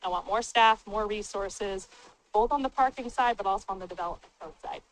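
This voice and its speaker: A woman on city staff presenting and moderating at a public town hall meeting, speaking informally and plainly with a loud, booming voice into a handheld microphone. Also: fairly quick. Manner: plain-spoken, informal, upbeat.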